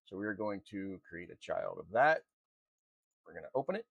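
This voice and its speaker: Low-voiced man giving an informal software walkthrough. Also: very animated, gravelly, dry recording, lo-fi sound. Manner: informal, halting step-by-step instruction. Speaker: software tutorial presenter. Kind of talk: unscripted screen-recorded walkthrough.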